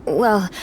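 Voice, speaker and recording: A woman voicing a poised, aloof young noblewoman knight in a video game, measured and formal with a soft side. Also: medium pitch, slight background noise.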